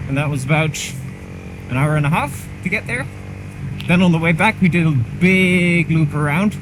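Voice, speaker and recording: A man's voice: fairly high pitch, moderate pace. A man chatting casually to the camera while riding a motorcycle, rambling and poking fun at himself, recorded on a helmet mic. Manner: chatty, rambling, self-mocking. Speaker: motorcycle vlogger. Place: on a motorcycle on a country road outdoors. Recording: helmet mic with clear engine noise. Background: engine and wind noise.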